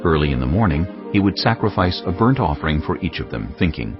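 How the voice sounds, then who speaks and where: A man narrating scripture in a grave, measured way. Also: a bass voice, big pitch swings. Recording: dull lo-fi recording. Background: cinematic music.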